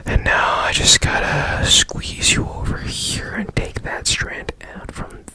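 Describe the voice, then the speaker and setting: A man playing a strange, curious repairman in a whispered ASMR roleplay, breathy and gently reassuring with repeated soft phrases. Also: slow, natural voice. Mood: soothing, focused. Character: strange, curious, soothing male repairman of broken machines. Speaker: ASMR performer.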